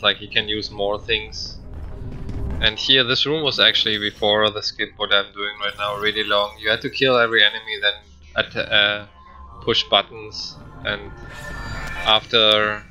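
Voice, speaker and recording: A man's voice: fairly low pitch, bright, forceful tone, rough recording. A man giving casual, rambling gameplay commentary in hesitant, non-native English. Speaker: speedrunner commentator.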